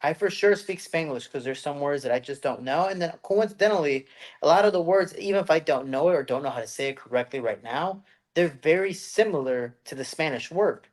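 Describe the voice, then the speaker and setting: A man from the United States co-hosting a podcast, telling stories in a rambling, animated way and slipping into put-on voices. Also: on the high side, brisk. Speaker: podcast co-host. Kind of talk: casual chat.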